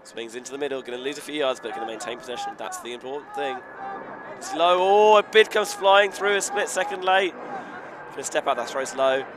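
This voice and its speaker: A man's voice: mid-range voice, a little fast. A man giving live play-by-play commentary on a frisbee match, following the action in flowing present-tense calls that rise with the play. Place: outdoors beside a sports field. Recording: outdoor crowd and traffic noise. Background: crowd and outdoor ambience.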